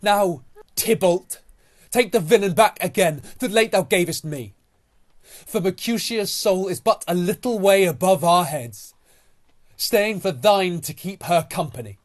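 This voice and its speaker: A man's voice: sits high, measured pace, no room sound, studio quality. A man playing a passionate, remorseful young lover turned avenger, speaking verse earnestly in a Shakespeare audio drama. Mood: vengeful. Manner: earnest, declamatory verse delivery.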